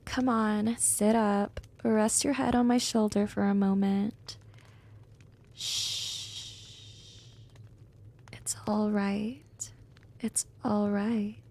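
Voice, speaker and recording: A woman playing a smug, gently dominant female vampire in an intimate ASMR roleplay, speaking softly and often slipping into whispers, teasing and coaxing. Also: medium pitch, steady tone, unhurried, few pauses, lightly acted. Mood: soothing. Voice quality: soft, breathy, often dropping into whispers.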